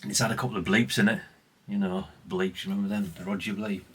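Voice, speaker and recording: A man's voice: on the low side, steady tone, fast, fairly bright tone, breathy edge. A man, chatting casually and ramblingly as he presents old radio gear in a vlog. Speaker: vlogger showing vintage CB radios. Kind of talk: casual, unscripted chat.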